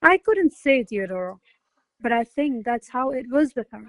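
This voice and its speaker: A woman acts out a jealous, imperious lady of rank in a classic radio play. Her voice is on the high side, and she orders and questions with haughty formality. The sound is close and dry. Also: animated, normal speed, fairly bright tone, no room sound. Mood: coy, pensive. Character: imperious, jealous noble lady, probing and commanding. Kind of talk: stage verse drama read as radio play.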